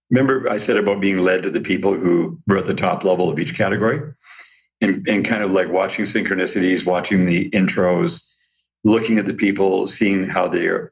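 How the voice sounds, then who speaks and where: A man with a slightly raspy voice, a podcast guest who owns a supplement business, telling rambling, animated stories in casual conversation. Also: low voice, normal speed.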